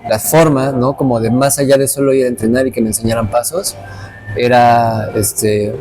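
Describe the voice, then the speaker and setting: A man in his late twenties from Veracruz who teaches dance speaks earnestly and reflectively, often restarting his sentences. His voice is mellow and relaxed, mid-pitched for a man. Faint music plays quietly in the background. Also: normal speed. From Veracruz, Mexico. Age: late 20s. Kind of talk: casual interview conversation.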